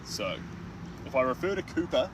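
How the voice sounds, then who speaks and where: A young man with a New Zealand accent chats to his live viewers in a rambling, self-mocking way. His voice sits in an ordinary middle register and comes from some distance off the mic, with steady outdoor traffic noise behind him. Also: medium pitch, normal speed. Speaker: artist livestreaming. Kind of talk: casual live chat while painting.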